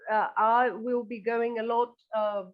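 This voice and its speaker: A woman's voice: a little high, level tone, unhurried, highs cut off. A woman from northern Greece, answering interview questions earnestly and hesitantly, repeating words as she goes. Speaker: interview guest, band singer and lyricist.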